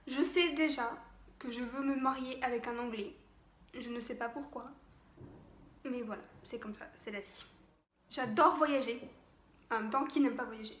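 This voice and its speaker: High-voiced chatty young French woman. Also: moderate pace, pauses often, a bit bright, reverberant. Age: young adult. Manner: chatty, giggly, hesitant with many fillers. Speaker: vlogger. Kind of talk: casual to-camera chat. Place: home room with television on behind.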